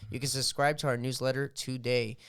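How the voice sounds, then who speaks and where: A man's voice: mid-range voice, level tone, a little fast, fairly bright tone, smooth. A young man hosting a podcast, talking in a casual, slangy way and animatedly retelling what happened. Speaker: podcast host.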